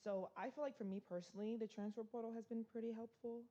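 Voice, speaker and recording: A young woman college soccer player, answering on a panel in a measured way with a few ums. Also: on the high side, fairly even intonation, dark tone, lo-fi sound. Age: young adult. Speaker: student athlete panelist.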